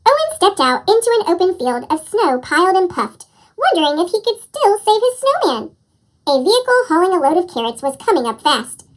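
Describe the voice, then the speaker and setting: A narrator reading a children's picture book aloud in an animated way, with a squeaky, childlike chipmunk voice effect. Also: a little fast, rough recording. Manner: animated storytelling. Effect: chipmunk voice pitch-up effect. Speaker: storybook narrator.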